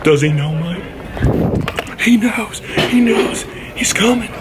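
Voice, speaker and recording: A man playing a brash, bumbling male wildlife poacher in an improvised comedy skit, excitable and tacking "mate" onto everything. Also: high-pitched, slow, very noisy. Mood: boastful. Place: school building, indoors. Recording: echoey halls with crowd chatter. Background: students chattering.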